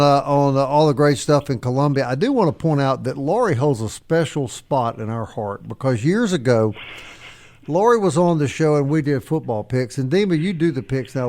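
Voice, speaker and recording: A man's voice: on the high side, darkish tone. A man hosting a sports radio show, chatting casually with a folksy, joking manner and reading sponsor messages. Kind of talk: casual radio talk with ad reads.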